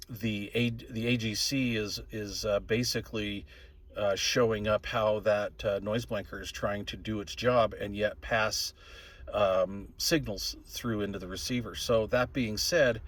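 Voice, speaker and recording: A middle-aged or older man giving a casual, plain-spoken and opinionated product review as a hobbyist host. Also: on the low side. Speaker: radio hobbyist channel host reviewing gear.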